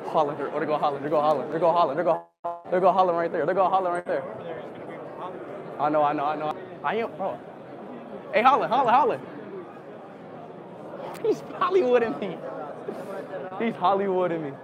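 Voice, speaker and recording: A young man with an American accent, talking loud and hyped in slangy casual chat, repeating himself. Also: high-pitched, brisk, long pauses, highs cut off. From United States. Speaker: streamer. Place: crowded indoor gala hall. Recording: loud crowd noise around. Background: crowd chatter.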